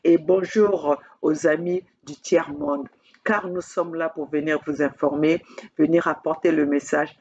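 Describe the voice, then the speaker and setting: A woman from Congo, addressing her camera as a political activist in an emphatic, repetitive way, halting often on fillers. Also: low voice, steady tone, a little mellow, muffled recording, a little hiss or hum. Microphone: speaking to own camera.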